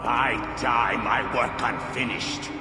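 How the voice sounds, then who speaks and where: An actor playing a dying zealot villain in a video game, grandiose, halting and intense. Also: male voice, mid-range voice, fairly even intonation, music in the background, natural voice. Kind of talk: theatrical game cutscene villainy. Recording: echoey, long reverberant hall sound.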